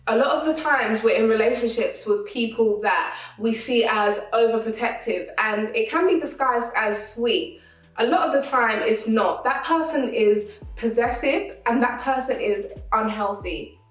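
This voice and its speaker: A woman host speaks earnestly to her viewers, offering advice in a fairly high voice. She sits back from the mic in an echoey room. Soft music plays faintly behind her. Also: far from the mic. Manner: earnest, advising tone. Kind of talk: reflective commentary to viewers.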